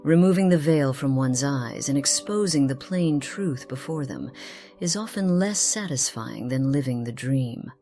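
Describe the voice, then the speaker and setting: A woman plays an ageless noblewoman from a fantasy game, with a low female voice and a very slow, measured delivery. She is formal and secretive, wistful yet sly, and leaves theatrical pauses. Faint music sits behind her. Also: animated, warm tone, exaggerated voice. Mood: mournful, wistful. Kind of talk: scripted game character dialogue.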